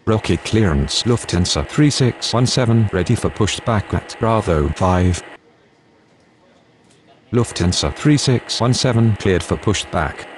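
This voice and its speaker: A man acts as a procedural airline radio voice in a game. He is low-pitched and formal, speaking in clipped set phrases. Faint noise sits under him. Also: expressive, measured pace. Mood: businesslike. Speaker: pilot and air traffic controller voices.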